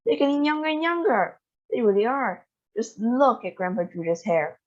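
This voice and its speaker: A woman doing a yelling excitable old grandpa. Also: on the high side, normal speed, highs cut off, voice pushed up. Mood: amazed.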